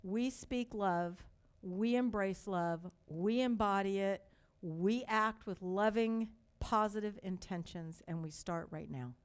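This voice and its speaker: An older woman with a light Texas accent delivers a sermon from the pulpit. Her voice sits in a middle register, and she leans on slow, emphatic repetition before easing into conversational stories. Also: mid-range voice, smooth. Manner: slow, emphatic repetition with warm storytelling asides. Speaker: pastor.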